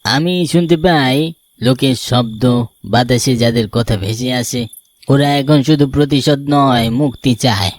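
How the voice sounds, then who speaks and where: A man voicing an all-knowing tantric ascetic in an animated ghost story, with a grave, commanding manner. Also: mid-range voice, measured pace, no room sound, close to an everyday voice. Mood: solemn, knowing.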